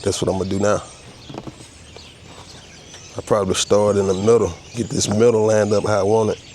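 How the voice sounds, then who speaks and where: Low-voiced man with a head cold. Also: level tone, normal speed, a little mellow, a little hiss or hum. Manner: easygoing, talks while working, explains each tool. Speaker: custom car restorer demonstrating a repair. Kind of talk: casual step-by-step how-to narration. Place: outdoor workshop.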